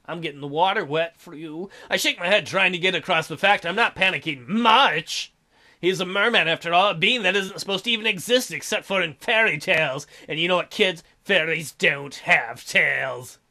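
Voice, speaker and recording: A man's voice: high voice, moderate pace, sharp tone. A man reading visual novel text aloud as a let's play host, with irreverent, sarcastic asides. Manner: irreverent, crude, sarcastic commentary.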